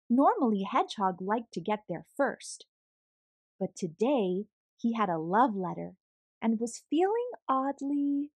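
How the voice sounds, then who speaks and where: Slow, expressive woman reading a storybook. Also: a little high, dry recording. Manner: expressive, deliberate, with pauses between phrases. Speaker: storybook reader. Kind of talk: scripted read-aloud of a children's picture book.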